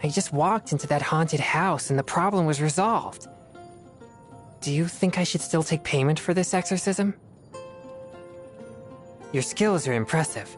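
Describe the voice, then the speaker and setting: A woman voicing an earnest, disciplined teenage boy exorcist in a fantasy video game, speaking in a measured, formal way. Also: low voice. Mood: earnest, modest. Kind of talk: video game character voice lines. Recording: music behind the voice.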